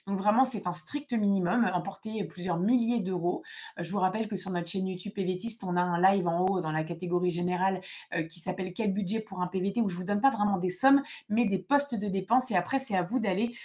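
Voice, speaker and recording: A woman's voice: mid-range voice, fairly quick, darkish tone. Female live-session host, casual delivery, direct and emphatic adviser, mid-range voice. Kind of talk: casual informal live Q&A, explaining procedures.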